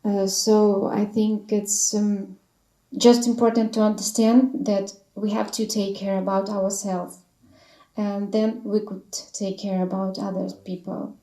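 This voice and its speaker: A woman's voice: mid-range voice, level tone, unhurried, warm tone, reverberant, rough recording. A woman from Belarus, speaking on a panel in hesitant non-native English with many fillers. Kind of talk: panel discussion.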